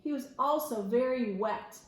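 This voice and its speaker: A woman reading a children's story aloud expressively, in a storyteller's deliberate way with simple explanatory asides. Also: on the high side. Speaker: school media specialist reading aloud to children. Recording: echoey room.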